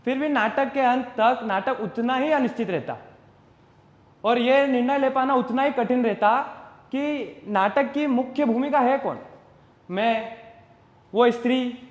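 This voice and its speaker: A man performing a stage monologue as an uncertain, philosophical common man, speaking deliberately and reflectively straight to the audience. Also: extremely high pitch. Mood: musing, questioning. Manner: deliberate, reflective, rhetorical, addressing the audience directly. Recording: roomy echo with long reverb.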